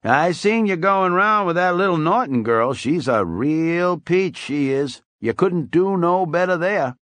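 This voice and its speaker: A man's voice: fairly high pitch, a little slow, a bit bright, dry recording, voice pushed up. A man voicing a drunk, boastful old male barfly with folksy, bawling talk. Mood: boozy.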